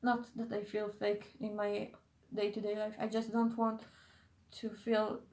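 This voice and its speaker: A woman's voice: mid-range voice, monotone, measured pace, darkish tone, reverberant. A young woman who is not a native English speaker, chatting in a casual vlog, hesitant and often losing her train of thought. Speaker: YouTuber.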